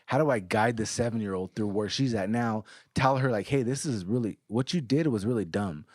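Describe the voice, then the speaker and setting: A man's voice: on the low side, brisk, a little mellow, dry recording, studio quality. A man in his thirties, co-hosting a podcast, talking bluntly and earnestly with frequent swearing. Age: 30s. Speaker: podcast co-host. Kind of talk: casual podcast chat.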